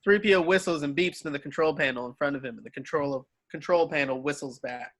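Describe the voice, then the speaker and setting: A man's voice: fairly high pitch, fast, fairly bright tone. A man reading stage directions as the narrator of an amateur screenplay table read.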